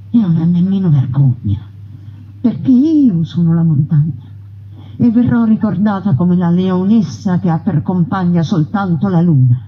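A woman voicing a proud, defiant young woman bandit in a slow, solemn theatrical monologue. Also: mid-range voice, husky. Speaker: narrating voice in a stage piece. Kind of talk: theatrical first-person monologue. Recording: dull radio broadcast sound.

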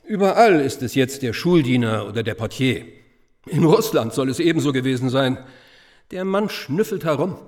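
An actor playing a cynical, gossipy schoolteacher in a radio drama, chatting with ironic, conspiratorial relish. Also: male voice, medium pitch, expressive, moderate pace, a little mellow, natural voice. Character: gossipy, sardonic schoolteacher, cynical confirmed bachelor. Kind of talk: conversational radio drama acting.

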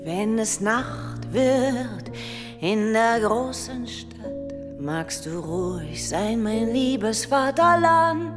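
A woman performing a solemn, dramatic song with a drawn-out delivery. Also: a little high, lively intonation. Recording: loud music behind the voice.

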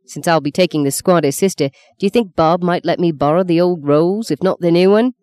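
A woman's voice: on the low side, fairly quick, a little mellow, lightly acted. A woman voicing a charming, cocky young stockman who talks in a teasing, self-assured way. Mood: breezy.

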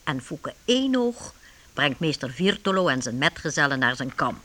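A woman narrating a medieval adventure in a radio play, with a husky voice and expressive storytelling delivery. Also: sits low, moderate pace, slight background noise. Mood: measured storytelling.

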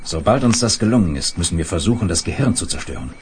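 An actor playing a calm, decisive spaceship leader in a 1970s German science fiction radio play, speaking with measured authority. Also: male voice, low voice, a little hiss or hum. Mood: tense, commanding.

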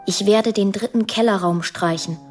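A woman playing a lonely, dreamy twelve-year-old girl in a quiet, poetic radio drama monologue. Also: medium pitch, normal speed, over music, lightly acted.

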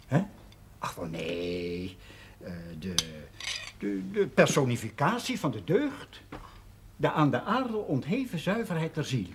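An actor playing an earnest, pompous amateur playwright in a television drama, halting and theatrical. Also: male voice, on the high side, huge pitch swings, heavily acted. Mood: earnest, hesitant. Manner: hesitant, halting, declamatory. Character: earnest, pompous amateur playwright presenting his lofty verse. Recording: noisy old television broadcast audio.

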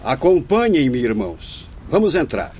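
An actor playing a kindly old Indian spirit who directs an asylum in a radio drama, courteous, solemn and didactic, with a Brazilian accent. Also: male voice, medium pitch, sing-song, measured pace, a little mellow, slight background noise. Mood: reassuring. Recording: music behind the voice.

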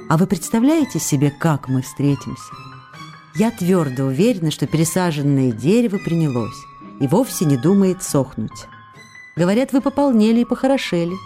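An affectionate actress reading her letters aloud in a radio drama. Her voice is rather low and soft-edged, intimate and expressive, moving between playful teasing and wistfulness. Faint music plays behind her. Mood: tender, eager.

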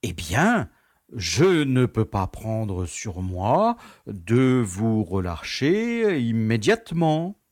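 A man acting as an affable but fussy bureaucrat running a prison, in a radio play. His delivery is theatrical and swooping, formal and gently condescending, in a dry, close sound with an unhurried pace. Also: lowish voice, huge pitch swings, dry recording, heavily acted. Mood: placating. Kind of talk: French audio drama acting.

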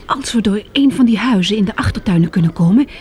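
An actress playing a plucky, compassionate young female survivor with a breathy, husky voice in a radio drama. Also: mid-range voice, expressive, quick pace, a little mellow. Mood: alert.

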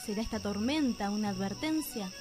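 A woman with an Argentine accent narrating a radio drama in a measured, suspenseful storytelling manner. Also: medium pitch, expressive. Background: music.

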